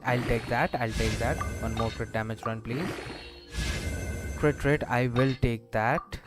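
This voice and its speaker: A man giving casual gameplay commentary as a streamer, thinking aloud in a rambling, hesitant way. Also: medium pitch. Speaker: gaming streamer. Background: loud game music.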